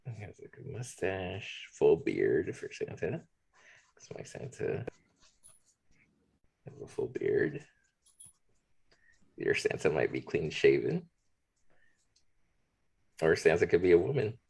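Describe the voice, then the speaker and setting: A man leading a drawing demonstration, talking in a relaxed way as he draws. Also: sits low, slow, creaky, long pauses, husky. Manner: relaxed, talks while drawing. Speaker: art instructor. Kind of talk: drawing demonstration, thinking aloud.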